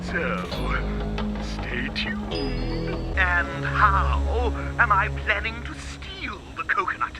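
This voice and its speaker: Cartoon crocodile tyrant, very high voice, wildly swooping, pompous, grandiose, short-tempered, theatrical. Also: male voice, big pitch swings, exaggerated voice. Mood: pompous, gloating. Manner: pompous, grandiloquent, drawn-out emphatic delivery. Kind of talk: theatrical cartoon villain acting. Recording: cartoon soundtrack with loud music behind.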